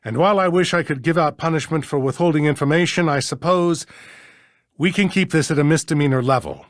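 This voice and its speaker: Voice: mid-range voice, brisk, no echo, clean studio sound, close to an everyday voice. An actor playing a stern, pompous, self-important town judge in a mystery video game, speaking formally and grudgingly. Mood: grudging, weary.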